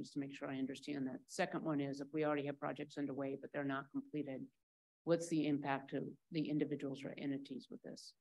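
A woman's voice: sits low, darkish tone, low-quality recording. A councilmember, a woman, asking staff questions in a direct, conversational way, thinking aloud as she goes.

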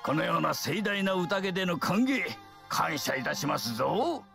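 A stout, pompous middle-aged king from a fantasy game. He blusters jovially in a high voice that swoops about with a gravelly edge. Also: animated, measured pace, pushed and bright, faint music behind, heavily acted. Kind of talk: Japanese fantasy game voice acting.

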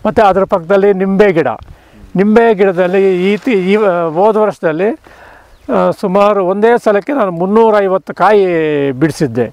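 An older man showing visitors around his dry farm orchard outdoors, talking slowly with many pauses and restarts. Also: sits high, a little mellow, no room sound. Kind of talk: casual farm walk-through. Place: outdoors on a dry rural farm among trees.

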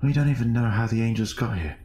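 A man's voice: medium pitch, fast talker, warm tone, close to an everyday voice. A man playing a wary space station survivor in an amateur audio drama.

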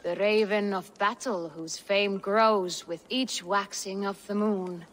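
An actress playing a cryptic Norse seeress in a video game, speaking in a solemn, oracular, ominous way. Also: female voice, mid-range voice, steady tone, slow pace, fairly bright tone, slight background noise. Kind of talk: dramatic video game acting.

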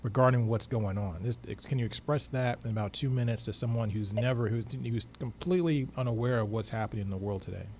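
A man's voice: medium pitch, quick pace, hardly any pauses, a little mellow, a little hiss or hum. A middle-aged American man hosting a broadcast conversationally, speaking close to a studio microphone. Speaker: radio show co-host. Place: small office studio, indoors. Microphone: close studio microphone with headphones.

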